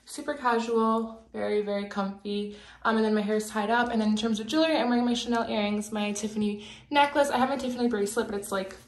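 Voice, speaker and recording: A woman's voice: fairly high pitch, quick pace, reverberant, low-quality recording. A woman in her twenties chatting casually to her phone camera in a mirror, bubbly and full of "like" and "you guys". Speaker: lifestyle vlogger. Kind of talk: casual vlog chat to camera. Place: small indoor hallway.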